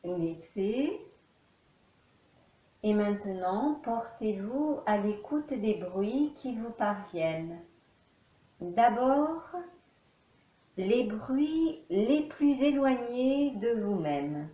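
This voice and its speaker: A woman teacher guiding a yoga nidra relaxation session in slow, soft, calm instructions with long pauses. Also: fairly high pitch, echoey room, dull audio. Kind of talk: guided relaxation.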